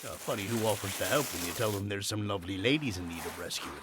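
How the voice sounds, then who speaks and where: An actor playing a roguish but fond sea captain with a courtly, salty, theatrical manner, in a period audio drama. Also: male voice, on the low side, fast, background noise, natural voice. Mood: jovial.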